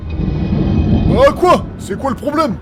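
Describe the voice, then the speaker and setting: An actor playing a pedantic, easily exasperated explainer in a humorous fantasy audio drama, with drawn-out, hesitant explanations. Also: male voice, very high voice, sing-song, music in the background, heavily acted. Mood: defensive.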